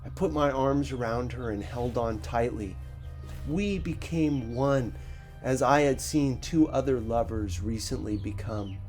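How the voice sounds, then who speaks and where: A man reading a first-person story expressively, with a measured, dramatic delivery. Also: medium pitch, darkish tone, faint music behind. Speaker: audiobook narrator.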